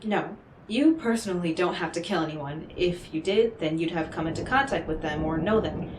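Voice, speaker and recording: A woman playing an enigmatic, smooth-talking otherworldly stranger in an audio roleplay, speaking in a coaxing, knowing, theatrical way with dramatic pauses. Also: lowish voice, close to an everyday voice. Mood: amused, explanatory. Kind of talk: scripted audio roleplay monologue addressed to the listener. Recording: roomy echo, clearly noisy background.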